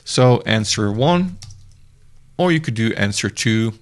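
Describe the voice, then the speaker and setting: A man giving a casual, meandering screen-recorded software demo, often restarting his sentences, speaking into a desktop mic at his computer. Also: on the low side, expressive, slow pace. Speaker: software reviewer.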